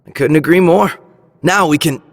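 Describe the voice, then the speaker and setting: An actor playing an earnest, resolute young male squad leader in a video game cutscene, with a husky voice. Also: a little high, normal speed, in a large hall, slight background noise, lightly acted. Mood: grateful, friendly.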